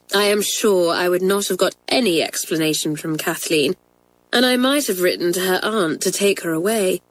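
A woman voicing a kind, gentle headmistress who speaks in a calm, measured way in a storybook reading. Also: on the low side, dry recording, lightly acted, rough recording. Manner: calm, measured, soft-spoken. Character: kind, gentle female headmistress, middle-aged.

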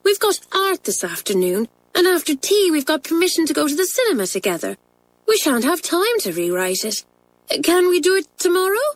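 Polite anxious schoolgirl, voiced by a woman narrator, very high and lively, dry sound. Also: fairly quick, no room sound. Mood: pleading. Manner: polite, pleading. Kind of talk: audiobook character voice.

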